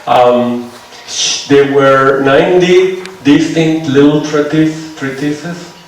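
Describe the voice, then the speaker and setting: A man's voice: fairly high pitch, fairly even intonation, slow pace. A man from Venezuela with a Venezuelan Spanish accent, giving a seminar lecture in English and often checking that listeners follow him. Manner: conversational, checks on listeners with "right?". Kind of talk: lecture with audience questions. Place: lecture hall. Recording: echoey hall, mic far off, faint noise.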